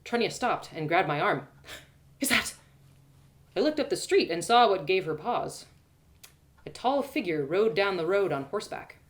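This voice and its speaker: A woman narrating a fantasy tale dramatically in the first person, with a theatrical, expressive storyteller's manner. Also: mid-range voice. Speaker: storyteller and host.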